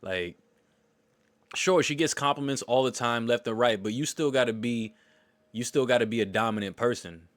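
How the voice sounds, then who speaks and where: A man's voice: medium pitch, normal speed, no room sound. A man reacting to a dating show and giving blunt, slangy dating advice in casual commentary.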